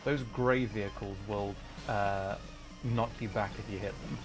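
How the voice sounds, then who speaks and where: A man's voice: lowish voice, steady tone, unhurried, music in the background. A man livestreaming a video game, chatting casually and thinking aloud in short reactive bursts. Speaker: livestream host, VTuber. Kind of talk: casual livestream chat while playing a racing game.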